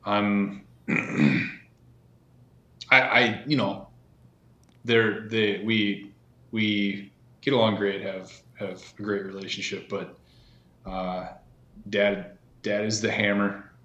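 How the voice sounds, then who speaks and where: A man in his thirties chatting casually as a podcast guest, halting with many fillers and restarts. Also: lowish voice, steady tone, fairly bright tone, echoey room, lo-fi sound. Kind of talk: casual conversation.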